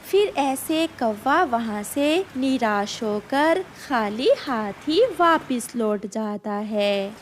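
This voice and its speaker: A woman narrates a children's cartoon fable in a fairly high voice. Her reading is slow and very expressive in pitch, with faint noise behind it. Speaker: storyteller.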